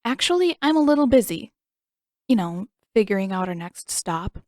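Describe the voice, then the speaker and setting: An eager, playful young boy, as a woman reader puts him on, pitched well above her narrating voice and bubbling with enthusiasm. He sounds bright and excited in a storybook reading. The recording is dry and close. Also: moderate pace, dry recording, close to an everyday voice.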